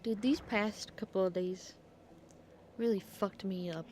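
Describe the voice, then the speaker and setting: A woman's voice: medium pitch, fairly even intonation, a little slow, darkish tone, a little hiss or hum. A woman streaming a game, muttering blunt, slangy commentary to herself. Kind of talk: casual gameplay commentary. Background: faint game music, keyboard clicks.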